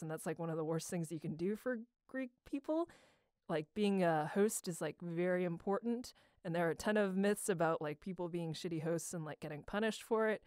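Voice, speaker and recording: A woman's voice: lowish voice, dry recording, clean studio sound. A young woman telling stories in a casual, slangy, irreverent way, rambling as she goes. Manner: slangy, irreverent, animated retelling with acted quotes. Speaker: amateur voice actor answering a question.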